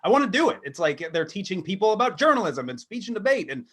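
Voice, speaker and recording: A man in his thirties co-hosting a comedy podcast, talking casually in a wry, halting, self-deprecating way. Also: sits high, very animated, a bit bright. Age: 30s. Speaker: podcast co-host. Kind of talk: casual comedic monologue and chat.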